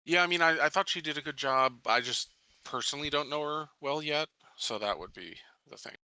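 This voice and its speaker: A man's voice: on the high side, fairly quick, sharp tone, clear, resonant tone, no echo. A man playing a tabletop game, chatting casually and thinking aloud in a tentative way. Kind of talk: casual chat.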